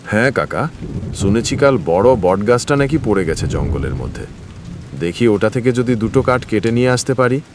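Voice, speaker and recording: A man's voice: fairly low pitch, big pitch swings, moderate pace, noisy background. A man voicing a poor, humble village woodcutter in a cartoon, speaking earnestly and plaintively. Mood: troubled, respectful. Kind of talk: Bengali moral-story cartoon voice acting.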